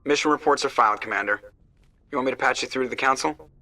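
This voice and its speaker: An actor playing a brisk, casual starship pilot man in a sci-fi video game, sounding slightly distant. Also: medium pitch, pushed and bright, soft background music. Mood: businesslike. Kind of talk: scripted game dialogue.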